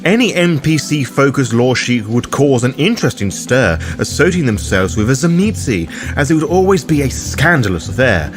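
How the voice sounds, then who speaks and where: A man's voice: medium pitch, very animated, normal speed, few pauses, over music. A man hosting a game guide, reading scripted narration in an instructive way that speaks straight to the listener. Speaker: host of a roleplaying game guide.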